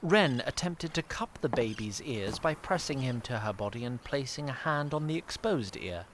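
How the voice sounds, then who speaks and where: A man's voice: medium pitch, expressive, moderate pace, a little hiss or hum. A man giving dramatic scripted narration for a fantasy audio drama.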